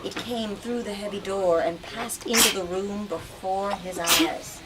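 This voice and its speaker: A woman leading a lively book talk in a bookstore, asking questions and switching into dramatic readings. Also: medium pitch, a little slow. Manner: animated, asks questions, shifts into dramatic reading. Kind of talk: dramatic reading and discussion with children. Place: bookstore event space. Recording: distant mic, noisy room.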